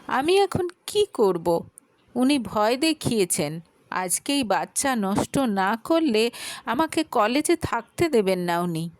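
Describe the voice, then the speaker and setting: A woman's voice: a little high, very animated, slow pace, no room sound. A woman voicing a frightened, pleading college girl in her early twenties for a dramatic audio story. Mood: tearful, distressed. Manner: pleading, anxious, defensive.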